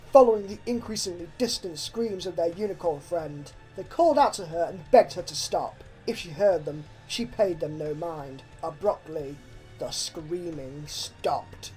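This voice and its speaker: Woman narrating horror over soft music. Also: lowish voice, poor audio. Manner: expressive, suspenseful. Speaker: fan fiction narrator. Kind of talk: dramatic horror story narration. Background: background music.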